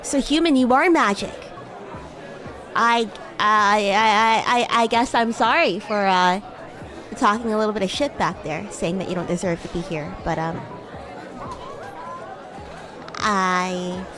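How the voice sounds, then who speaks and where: A woman's voice: mid-range voice, unhurried, over music, crowd noise, natural voice. A woman streamer voicing a bratty, haughty yet sweet young demon classmate in improvised, teasing roleplay chat. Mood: grudging. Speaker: streamer, VTuber host.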